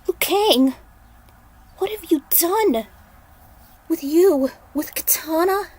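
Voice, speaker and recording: A woman acts out a distraught fantasy heroine in a much higher voice. She exclaims and falters, emotional and disbelieving, as she pleads through an action story. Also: lively intonation, takes it slowly, a little mellow, heavily acted. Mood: alarmed, shocked.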